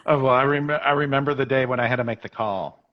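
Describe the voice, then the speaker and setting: A man with a mid-pitched voice talks casually and reflectively as a guest. He is earnest and self-effacing, often halting as he shapes a thought. The sound is a little dull and lo-fi. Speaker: interview guest, talent agent turned show executive.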